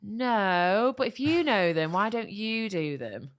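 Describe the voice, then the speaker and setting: A woman's voice: mid-range voice, takes it slowly. A woman co-hosting a comedy podcast, telling an anecdote in casual chat and acting out the quotes with lots of laughter. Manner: animated storyteller who acts out quotes, laughing often. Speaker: podcast co-host.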